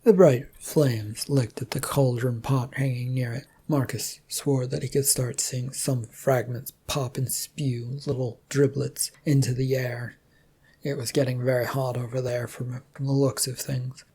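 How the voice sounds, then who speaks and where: A story narrator with a dark, mellow tone. The voice is breathy and husky at a middling pitch, reading prepared prose. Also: level tone, unhurried.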